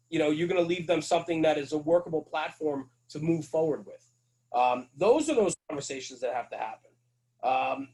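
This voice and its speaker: A man's voice: on the high side, a little mellow, rough recording. Earnest, emphatic middle-aged man. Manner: earnest, emphatic, repeats key phrases. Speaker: guest, firefighter and fire-service radio host. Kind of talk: casual podcast interview.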